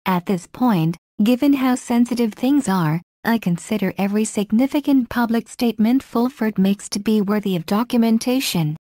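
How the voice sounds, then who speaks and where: A woman reading a blog article aloud in a steady, even manner. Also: fairly high pitch, normal speed, few pauses, dry recording, clean studio sound.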